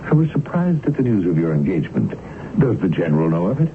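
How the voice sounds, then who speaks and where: Deep, dramatic doctor narrator. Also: male voice, big pitch swings, a little hiss or hum. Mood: kindly, businesslike. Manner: measured, literary, dramatic delivery. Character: grave, learned doctor narrating an eerie case. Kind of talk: radio drama narration and acting. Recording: tinny old radio recording, loud music behind.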